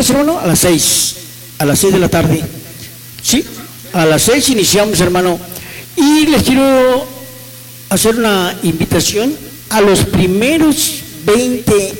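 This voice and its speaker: A man inviting radio listeners to an event, playful and teasing. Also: high-pitched. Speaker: radio host. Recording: reverberant.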